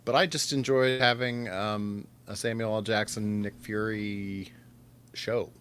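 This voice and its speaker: Man, low pitch, casual podcast co-host, faint noise behind the voice. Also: steady tone, a little slow, fairly bright tone. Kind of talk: casual chat.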